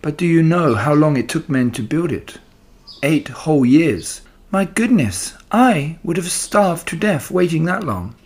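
Storybook spider mentor, female, played by a man: raised airy pitch, gentle, instructive, unhurried wisdom. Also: husky. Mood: reassuring, wry. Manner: calm, instructive, reassuring. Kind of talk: children's storybook character voice.

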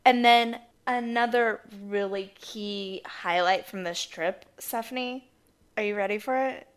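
A woman in her twenties co-hosting a podcast, a bit hoarse from losing her voice, telling stories in a chatty way and laughing often. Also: medium pitch, a little slow. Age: 20s. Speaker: podcast co-host. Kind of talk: casual chat.

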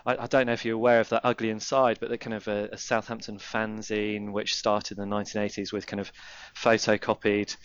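A man's voice: fairly low pitch, little pitch movement, fairly quick, hardly any pauses, smooth, highs cut off. A man interviewing a guest, asking long rambling questions in a chatty way. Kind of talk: casual interview.

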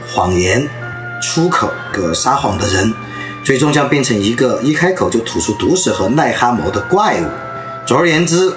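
A man in his thirties or forties delivers a storytelling lecture with a lively voice whose pitch rises and falls widely. He draws listeners in as he tells his anecdotes. Soft music plays faintly underneath.

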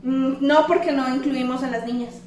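A woman's voice: high-pitched, steady tone, normal speed, a little mellow, slight background noise. A young woman, co-hosting a podcast with playful, slangy banter. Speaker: podcast co-host. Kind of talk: casual chat. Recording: echoey room from far off.

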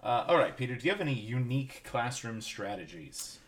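A man's voice: mid-range voice, sing-song, fairly quick. A man interviewing a fellow teacher, chatty and upbeat. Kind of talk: interview conversation.

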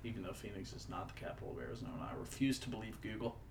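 A man co-hosting a casual podcast, joking and banter-driven, with a southern US accent, mumbling one moment and loud the next. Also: on the low side, very fast, slight background noise. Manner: playful, jumps between mumbling and loud exclaiming. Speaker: podcast co-host. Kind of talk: casual chat.

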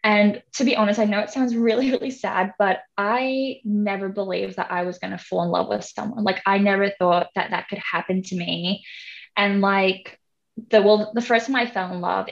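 A woman's voice: mid-range voice, steady tone, normal speed, gravelly. Young woman, Hong Kong English accent, giggly guest chatter, slightly dull audio. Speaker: podcast guest. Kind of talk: casual interview chat.

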